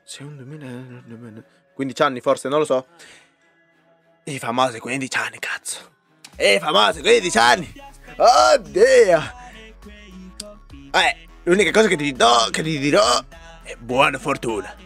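A man's voice: fairly high pitch, lively intonation, measured pace, creaky, pauses often, fairly bright tone, breathy edge, faint music behind. A man recording a crude joking birthday message, rambling and swearing. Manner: foul-mouthed, rambling.

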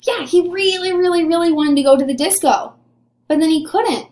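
High-voiced young woman teaching small children. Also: moderate pace, a little mellow. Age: 20s. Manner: encouraging, asks questions and answers them as if pupils replied. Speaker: early elementary teacher. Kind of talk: animated read-aloud lesson for young children. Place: small room indoors. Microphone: webcam or camera at desk distance. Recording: echoey room, mic at desk distance.

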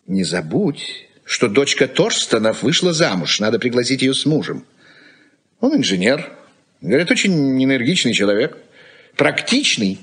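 Husky, condescending middle-aged father. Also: mid-range voice, big pitch swings, moderate pace, a bit bright. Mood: brusque, lecturing. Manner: condescending, lecturing. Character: irritable, practical middle-aged father and husband, mocking youth. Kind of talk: Soviet radio drama acting.

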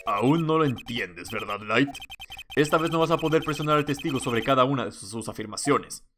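A man voicing a cool, mocking prosecutor in a courtroom game, slow and taunting. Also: mid-range voice, a bit bright, a little hiss or hum, pitched below the performer's own voice, close to an everyday voice. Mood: cool, menacing.

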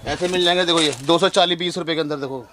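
A wholesale shop owner in his thirties, a man with a high voice who reels off prices at speed. He repeats each number over and over in a steady sales patter. The recording carries clear background noise from the crowded shop. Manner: rapid, repetitive price-counting patter. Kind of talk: sales pitch, listing prices. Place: small indoor shop crowded with shelves.

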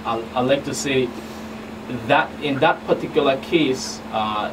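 A middle-aged man answering interview questions as a guest investigator, in a halting way with repeated words. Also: medium pitch. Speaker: guest federal investigator on a radio show. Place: small radio studio. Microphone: studio mic on boom arm. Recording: distant mic, clearly noisy room hum.

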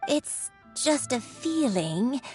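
A woman voicing a serene, dreamy elderly grandmother in a fantasy game, speaking gently and poetically. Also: high voice, big pitch swings, drawn-out words, soft background music, full character voice. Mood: serene, wistful. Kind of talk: fantasy video game voice acting.

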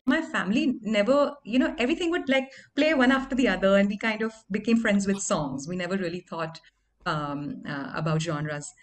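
A woman in her thirties with a deeper voice, telling stories about her music in a lively, conversational way, from a small room at home. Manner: animated, conversational storytelling. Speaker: singer and interview guest. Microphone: microphone on stand in home studio.

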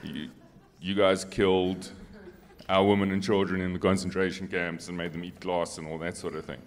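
A low-voiced South African man in middle age, whose first language is Afrikaans, speaks on a panel. He tells his own story in a casual way into a handheld microphone on stage. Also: little pitch movement, normal speed. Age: middle-aged. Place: on stage in a church hall.

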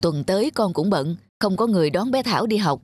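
A woman's voice: medium pitch, animated, a little fast, a little mellow, slight background noise, natural voice. A woman voicing a patient but firm young father in a children's cartoon, speaking in an earnest, explanatory manner. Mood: explaining. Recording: music behind the voice. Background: background music.

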